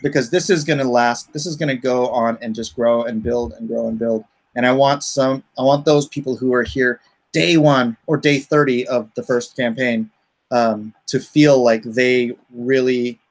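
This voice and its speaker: A man's voice: mid-range voice, steady tone, normal speed, low-quality recording. A man hosting a casual livestream as a comic creator, rambling and thinking aloud as he talks through his campaign.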